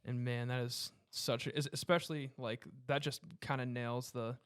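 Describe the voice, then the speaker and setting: A young man with a medium-pitched voice talks casually on a panel. His way of speaking is loose, informal and slangy. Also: flat delivery, normal speed, smooth. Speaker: podcast panelist. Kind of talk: casual chat.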